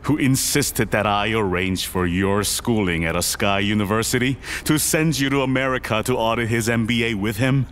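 A man playing a powerful, composed older businessman and father in an audio drama, speaking in a measured, formal way. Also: fairly low pitch, a bit bright, a little hiss or hum, close to an everyday voice. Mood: stern, betrayed. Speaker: actor in a podcast drama.

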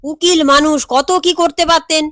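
Woman voicing an excitable, nosy, timid errand man, higher-pitched. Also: normal speed, no echo, studio quality. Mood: curious. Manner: exclaiming, questioning, deferential.

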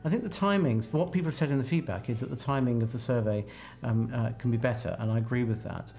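Man in his 60s talking thoughtfully in an interview, mid pitch, guitar music behind. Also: fast, creaky, a little mellow. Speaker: researcher interviewed about a project. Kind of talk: reflective interview answer. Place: small office indoors.

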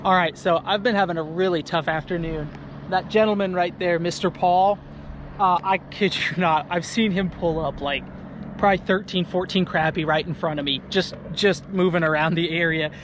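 A young man, chatting casually to his viewers from a small boat on a lake, getting excited when fish bite. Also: high-pitched, moderate pace, background noise. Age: young adult. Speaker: fishing vlogger. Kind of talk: casual outdoor vlog commentary. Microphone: action camera on the boat.

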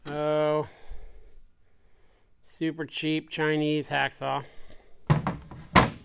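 A man's voice: on the high side, very slow, vocal fry, background noise. Chatty man unboxing shop tools. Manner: relaxed, chatty, naming tools as he unpacks. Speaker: machine shop owner showing a tool haul. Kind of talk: casual chat to camera. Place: workshop bench, indoors.

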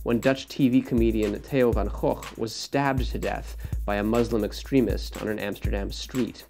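A male newspaper correspondent reading a scripted news report in a measured, even voice. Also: lowish voice, gravelly, soft background music.

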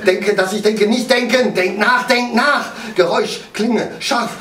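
Guy acting out a freaked-out young dude running for his life, high strained voice, clipped gasping bits, echoey far-off stage sound. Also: a little slow, fairly bright tone. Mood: fearful. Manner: breathless clipped inner monologue, frantic. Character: panicked young man fleeing a beating. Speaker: actor. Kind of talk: stage monologue.

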